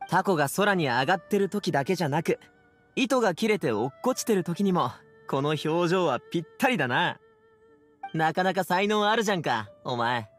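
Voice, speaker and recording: A man's voice: on the high side, lively intonation, normal speed, fairly bright tone, faint music behind. A man voicing a brash, teasing young man in a Japanese video game, with slangy, casual speech.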